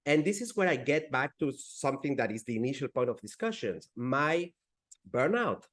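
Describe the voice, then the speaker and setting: A man in his forties from Peru with a Spanish accent, speaking as a guest in an interview, with frequent restarts and halting phrasing. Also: mid-range voice, very animated, a bit bright. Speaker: guest entrepreneur. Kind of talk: conversational interview.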